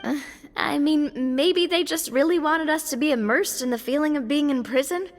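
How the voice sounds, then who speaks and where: Game role: bold young woman adventurer. High, casual, reassuring, reverberant. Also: normal speed. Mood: hesitant, curious. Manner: casual, confident. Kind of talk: scripted game voice acting. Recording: echoey reverb, music behind.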